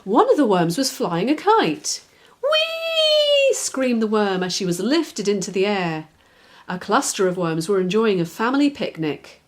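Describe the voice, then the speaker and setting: A woman narrating a children's storybook from a script, expressive and clearly phrased for young listeners. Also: mid-range voice, moderate pace, slight background noise. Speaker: children's author, reader.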